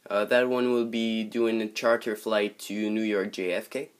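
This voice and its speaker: A man's voice: on the low side, flat delivery, normal speed. A man from Montreal with a Quebec French accent, hesitantly showing off his model airplane collection in a casual vlog, full of ums and uhs. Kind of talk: casual show-and-tell vlog. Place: bedroom with model airport table, indoors.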